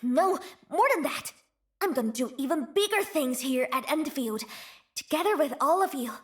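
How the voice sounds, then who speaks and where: A woman voicing a brash, scrappy young female gang leader in a video game, cocky and boastful, hushed and wistful when she remembers. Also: high-pitched, lively intonation, moderate pace. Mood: earnest. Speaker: voice actor. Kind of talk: video game character voice lines.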